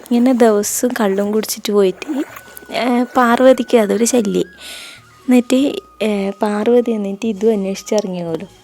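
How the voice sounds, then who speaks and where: A woman vlogger with a North Kerala, Kannur accent and a soft-edged, mellow tone. She talks informally, as if recounting from memory, feeling her way through what she says in a relaxed, chatty style. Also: medium pitch, moderate pace, gravelly, long pauses, faint music behind. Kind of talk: casual storytelling and chat while walking.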